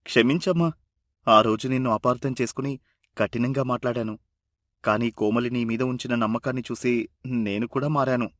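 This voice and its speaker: A man's voice: medium pitch, normal speed, pauses often, fairly bright tone, no room sound, clean studio sound, natural voice. A man playing an affectionate, earnest family member in a moral story. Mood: apologetic. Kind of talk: dramatised moral story dialogue.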